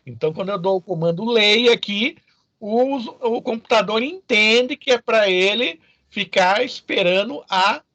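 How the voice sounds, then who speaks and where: A man's voice: high-pitched, measured pace, bright, forceful tone, no echo. A Brazilian man giving an informal, didactic lecture as a programming teacher, often checking in with short questions to his students.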